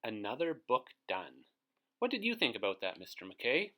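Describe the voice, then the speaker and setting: A man's voice: medium pitch, wildly swooping pitch, moderate pace, bright, forceful tone. A man reading a children's storybook aloud as host, expressively, putting questions to his young listeners.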